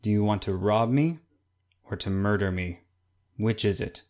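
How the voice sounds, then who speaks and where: Casting: woman voicing a deep, menacing old hunchback man, slow sneering threats, audiobook. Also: dark tone. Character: ugly old humpbacked man, snarling and menacing. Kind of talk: audiobook reading.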